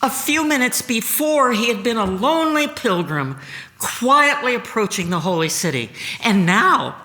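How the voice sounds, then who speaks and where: A woman reading a dramatic devotional narration at a church service, solemn and expressive in her storytelling. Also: a little high, unhurried. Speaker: reader at a church Good Friday service. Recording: long reverb of a large room.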